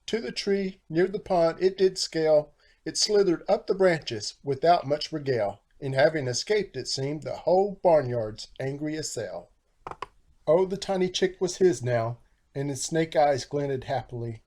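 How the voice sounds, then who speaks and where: A man reading a children's storybook aloud in a theatrical, animated style with rhyming phrasing. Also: a little high, unhurried. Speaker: storybook narrator, author.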